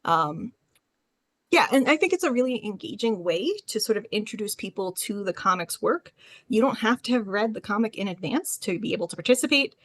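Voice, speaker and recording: A woman speaking as a panelist in an online session, explaining informally with frequent hesitant pauses. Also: medium pitch, animated, a bit bright. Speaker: panelist, librarian. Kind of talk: informal presentation with slides. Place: online panel, close dry sound.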